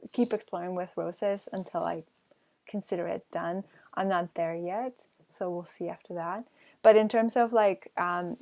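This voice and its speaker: A woman from Peru, an artist answering questions in an online talk, with many pauses, ums and restarts. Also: fairly low pitch, vocal fry. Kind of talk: casual Q&A answers.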